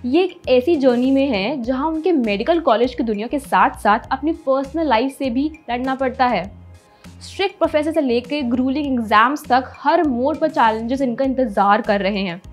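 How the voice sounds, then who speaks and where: A young woman in her twenties presenting a studio entertainment segment, lively and mixing English into Hindi as she gestures. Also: sits high, moderate pace, faint music behind. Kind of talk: scripted video presentation. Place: studio, in front of a blue-purple backdrop.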